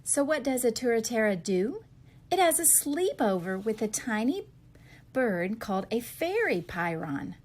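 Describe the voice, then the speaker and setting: Slow, very expressive woman reading to children. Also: on the high side. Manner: expressive question-and-answer delivery, carefully paced for children. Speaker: read-aloud reader for young children. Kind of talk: children's picture book read-aloud.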